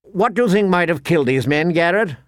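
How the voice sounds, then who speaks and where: A man's voice: fairly high pitch, lively intonation, normal speed, clean tone, no room sound, clean studio sound, voice pushed up, natural voice. A man voicing a haughty eighteen-year-old knight in an audiobook, condescending and languid as he questions his men. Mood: casual condescension.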